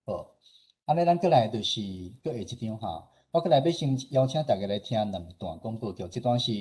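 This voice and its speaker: A man with a Taiwanese accent and husky voice, giving an informal presentation as a radio host. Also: lowish voice, darkish tone. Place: online meeting presentation.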